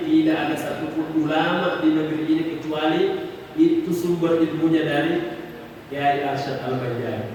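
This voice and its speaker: A man's voice: on the high side, darkish tone. A man giving a conversational Islamic sermon, explaining patiently and repeating phrases for emphasis. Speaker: Islamic preacher answering questions. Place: mosque hall. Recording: echoey mosque hall, distant mic, noisy.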